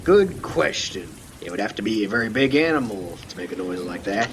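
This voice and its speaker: Guy playing calm mysterious lighthouse keeper, gravelly fry, deliberate pauses, stormy rain noise, radio play. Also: on the low side. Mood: leading, mysterious. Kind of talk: student radio play acting. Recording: rain and thunder noise behind. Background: rain and thunder.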